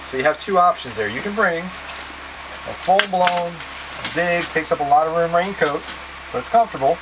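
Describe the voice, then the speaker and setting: A man's voice: fairly high pitch, moderate pace. A man in his thirties giving step-by-step, casually directive instructions to camera as a trip leader, in a plain-spoken way. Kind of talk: instructional packing talk to camera. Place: small wood-panelled boat cabin, indoors. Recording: steady background hum and noise.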